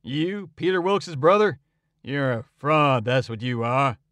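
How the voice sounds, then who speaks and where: Brief: male reader as an angry old doctor, lower and firm, dry close sound. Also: lively intonation, moderate pace, no echo. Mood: indignant. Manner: indignant, forceful, accusing. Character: stern, angry older male town doctor.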